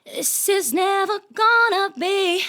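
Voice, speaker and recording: Very high, slow-singing woman. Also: pushed and bright. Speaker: singer. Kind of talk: sung vocal take, repeated pop phrase.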